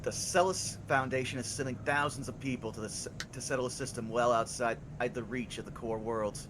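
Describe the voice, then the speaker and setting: A man's voice: mid-range voice, a little fast, background noise, natural voice. A man voicing a tired, hopeful young father who works the docks, talking earnestly and stumbling over his words. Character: weary hopeful young father, dock worker. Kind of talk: voicing a game character in a live read-along. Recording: music playing under the voice.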